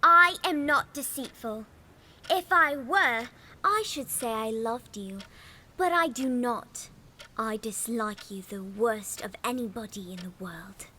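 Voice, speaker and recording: A woman's voice: on the high side, slow pace, bright, forceful tone, slight background noise, rough recording. A woman playing a passionate, outspoken young orphan girl in an audio drama. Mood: angry, defiant. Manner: vehement, outspoken.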